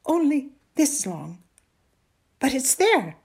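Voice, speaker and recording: A woman voicing an eager, pleading young shepherd boy in a storybook tale, earnest and excitable. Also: sits high, takes it slowly, vocal fry, pitched above the performer's own voice, heavily acted. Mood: insistent.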